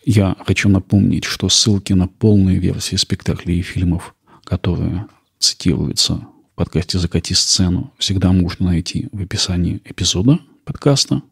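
A man's voice: sits low, normal speed, vocal fry, breathy edge, no echo, studio quality. A man hosting a podcast, reading scripted documentary-style narration. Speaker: podcast host.

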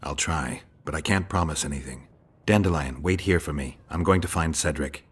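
Very deep, gruff monster hunter. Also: male voice, moderate pace, slight background noise. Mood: terse, guarded. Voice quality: gravelly, low. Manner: terse, restrained delivery. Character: gruff, stoic middle-aged male monster hunter. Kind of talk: fantasy video game dialogue.

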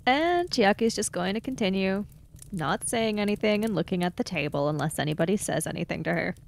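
A woman's voice: medium pitch, lively intonation, brisk, gravelly, slight background noise. A woman improvising narration and dialogue as a player in a tabletop role-playing game.

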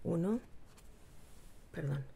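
Low-voiced woman. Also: animated, gravelly, dark tone, a little hiss or hum. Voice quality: low, unhurried voice with many pauses and fillers. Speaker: craft channel host. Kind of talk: casual craft tutorial, thinking aloud. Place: home craft table. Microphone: overhead camera, speaker off screen.

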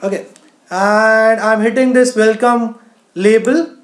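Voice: medium pitch, fairly even intonation, slow, roomy sound. A teacher narrating a screen-share tutorial in an explanatory way, often saying "you know". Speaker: coding teacher.

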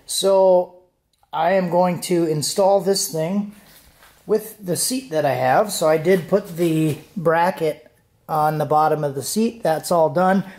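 High-voiced man vlogging in an echoey garage. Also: slow pace, a little mellow. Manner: informal, explanatory. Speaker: car-build vlogger. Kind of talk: casual talk to camera. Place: home garage. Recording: roomy, echoing garage sound.

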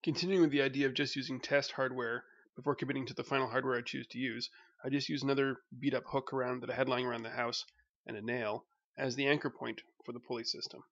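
A man's voice: mid-range voice, level tone, fast talker, fairly bright tone, dry recording, dull audio. A young man narrating his home project step by step, plain and explanatory, with some fillers. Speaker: DIY video host.

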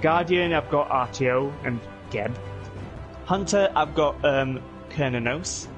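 A young man with a slightly high voice, talking casually while he plays a video game. He jokes around and breaks into sudden loud outbursts. Game music plays clearly behind him. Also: slow pace, dull audio. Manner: excitable, jokey banter with sudden loud outbursts. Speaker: streamer playing a video game. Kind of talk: casual gaming chat.

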